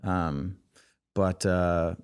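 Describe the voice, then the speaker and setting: A man with a fairly low, clean and resonant voice, talking loosely as a guest on a podcast. He rambles and circles back, dropping "like" and "you know" all through. The sound is a close, tidy studio recording. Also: flat delivery, extremely slow, smooth. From United States, Israeli family. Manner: rambling, full of "like" and "you know what I'm saying". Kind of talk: casual interview conversation.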